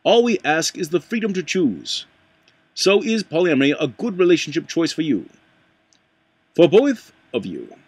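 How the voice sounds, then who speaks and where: A man reading a nonfiction book aloud in a put-on accent, halting at times and correcting himself as he stumbles over words. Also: on the high side, breathy edge, dry recording. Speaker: amateur book reader.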